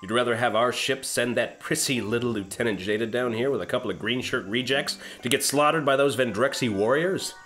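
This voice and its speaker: A man's voice: medium pitch, brisk, few pauses, a bit bright, soft background music, natural voice. A man playing a pompous, sarcastic starship captain in a comic science fiction radio play, blustering and dismissive. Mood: sarcastic, impatient.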